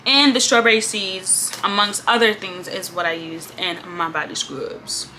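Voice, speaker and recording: Chatty young woman, bright voice. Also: mid-range voice, steady tone, a little slow, slight background noise, poor audio. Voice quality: slightly congested. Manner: chatty, laid-back, often trailing off softly. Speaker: vlogger. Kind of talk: casual chat. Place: small room indoors.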